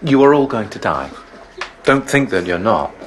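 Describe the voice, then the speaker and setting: Casting: ruthless palace guard chief, audio drama, lowish lively voice, measured formal menace, noisy ambience. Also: male voice. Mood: cold, threatening. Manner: measured, coldly threatening, formal. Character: grim, ruthless male master of palace guard.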